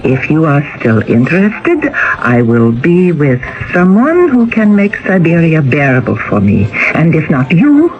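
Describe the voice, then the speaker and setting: An actress playing a spoiled, discontented, scheming wife in a radio drama, with an imperious, theatrical manner. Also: female voice, sits low, wildly swooping pitch, unhurried, hardly any pauses, full character voice. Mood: coaxing. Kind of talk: 1980 radio drama acting. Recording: muffled old radio recording.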